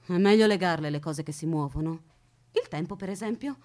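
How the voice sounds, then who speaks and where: A woman's voice: lowish voice, fairly quick, darkish tone, close to an everyday voice. An actress playing an eccentric, dotty unmarried woman who keeps a captive, speaking with childlike certainty and coy formal politeness in a radio play. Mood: earnest, odd. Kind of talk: theatrical radio drama acting.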